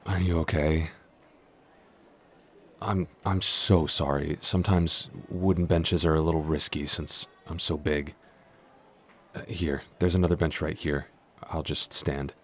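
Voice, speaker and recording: A man's voice: very deep voice, steady tone, measured pace, breathy edge, full character voice. A man playing a shy, clumsy giant in a roleplay audio, stammering, hesitant and constantly apologetic. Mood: awkward, apologetic. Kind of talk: intimate roleplay audio acting.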